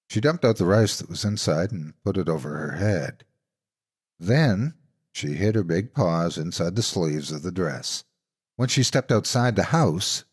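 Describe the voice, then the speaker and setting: A man narrating scripted stories as a horror narrator, deliberate and dramatic in his delivery. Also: sits low, darkish tone, slightly rough. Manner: deliberate, suspenseful storytelling.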